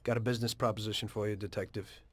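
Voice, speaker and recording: An actor playing a guarded, businesslike mobster with an American accent, speaking in a measured, discreet way in a game cutscene. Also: male voice, on the low side, fairly even intonation, no echo, natural voice. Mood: confiding, businesslike. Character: adult male mobster, guarded, politely businesslike, confiding. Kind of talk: dramatic dialogue in a video game cutscene.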